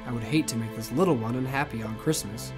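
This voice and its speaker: A man playing a nervous, earnest, soft-hearted young Santa in a Christmas story. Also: fast talker, over music, lightly acted. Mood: puzzled, concerned. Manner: muttering to himself, hesitant, booming out ho-hos. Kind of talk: character acting in a Christmas audio story.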